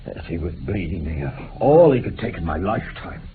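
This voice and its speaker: An actor playing a remorseful, dying old former bushranger in a radio drama, making an impassioned, halting confession. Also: male voice, low-pitched, big pitch swings. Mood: desperate. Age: older. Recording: muffled phone-like old radio recording, hiss.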